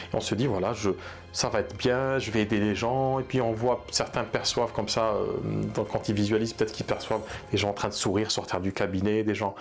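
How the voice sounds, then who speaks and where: A man coaching therapy practitioners in an unscripted talk, speaking earnestly and hesitantly with many fillers and restarts. He also speaks Arabic. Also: lowish voice. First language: bilingual in Arabic. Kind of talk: unscripted advice talk to camera.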